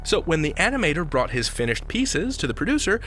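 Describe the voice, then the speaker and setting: A man's voice: a little high, big pitch swings, brisk, a bit bright. A man hosting a podcast in a scripted, conversational storytelling style, playful and theatrical in his emphasis. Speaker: podcast host. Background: music bed.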